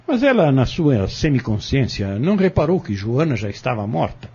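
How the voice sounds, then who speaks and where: A cunning, vengeful defendant testifies in an audio drama, acted out by a man. His voice is very husky and animated, and he speaks deliberately and defiantly. The recording is dull and lo-fi. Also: medium pitch. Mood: cold, calculating. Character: cunning vengeful male defendant confessing in court.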